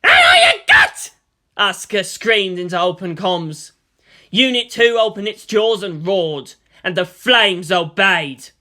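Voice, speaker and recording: A man's voice: sits high, measured pace, pushed and bright. A man reading action fiction aloud as a dramatic, emphatic audiobook-style narrator. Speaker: story narrator.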